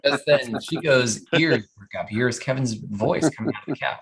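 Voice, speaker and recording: A narrator with a husky voice reading scripted stage directions at an animated comedy table read. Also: mid-range voice, moderate pace, over a noisy crowd, rough recording.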